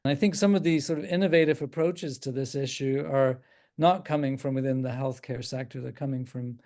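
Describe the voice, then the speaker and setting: An older man with an Irish accent, a psychiatrist speaking as a guest on a video call, thoughtful and halting with frequent restarts. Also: mid-range voice, fairly even intonation. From Ireland. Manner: thoughtful, halting with many restarts and soft laughs. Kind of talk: casual talk on a video call.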